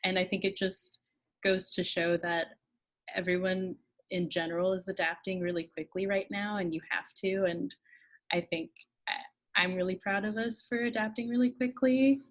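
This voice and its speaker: A woman's voice: medium pitch, fairly even intonation, moderate pace. A woman who giggles as she talks, chatting casually as a theatre panelist on a video call. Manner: giggly, laughs while talking. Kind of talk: casual reflective chat.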